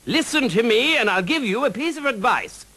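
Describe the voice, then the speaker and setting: A man's voice: very high voice, lively intonation, normal speed, sharp tone, pitched above the performer's own voice, full character voice. A man voicing a boastful, cowardly knight with an English accent and a pompous, blustering manner. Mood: bold.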